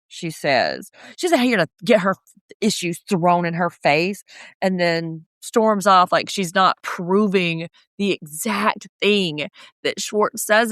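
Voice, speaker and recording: A woman with a slightly deep voice, co-hosting a podcast in sardonic, chatty recap talk. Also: no room sound. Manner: chatty, sardonic, animated asides. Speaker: podcast co-host. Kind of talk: casual recap chat.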